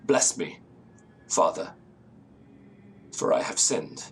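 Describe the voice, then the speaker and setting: An actor playing a haunted, frightened man, telling his tale in halting, theatrical, literary phrases for a gothic audio drama. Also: extremely low pitch, sing-song, creaky, a little hiss or hum, full character voice. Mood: haunted, tense. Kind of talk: gothic audio drama, first-person storytelling.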